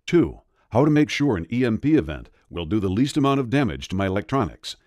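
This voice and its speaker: A man's voice: lowish voice, big pitch swings, quick pace, a little mellow, no echo, studio quality. A man narrating a scripted voice-over in a grave, dramatic, urgent manner. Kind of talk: scripted doom-laden voice-over pitch.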